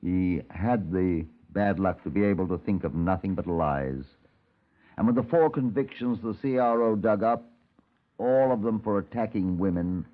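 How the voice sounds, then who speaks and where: An actor playing a composed, shrewd Scotland Yard detective in a 1950s radio procedural, measured and wry. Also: male voice, low-pitched, natural voice. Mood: grave narration. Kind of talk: 1950s radio acting, police procedural narration. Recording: tinny old radio recording.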